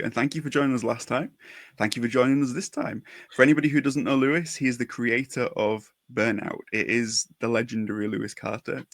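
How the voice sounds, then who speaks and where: A man's voice: mid-range voice, no echo. A man hosting a casual podcast interview, speaking effusively and full of compliments. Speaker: podcast host.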